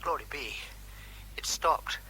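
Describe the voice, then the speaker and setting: A man's voice: slow, bright, forceful tone, whispered, low-quality recording. An actor playing a facetious, nervy army captain giving a running commentary as he works on a bomb, with faint noise behind, in a radio drama. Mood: tense concentration.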